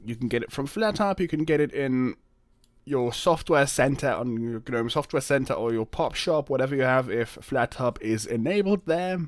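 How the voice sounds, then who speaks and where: A man hosting a casual, unscripted software demo video, thinking aloud in hesitant, stop-and-start phrases. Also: mid-range voice, animated, moderate pace, no echo. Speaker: host of a Linux software video channel.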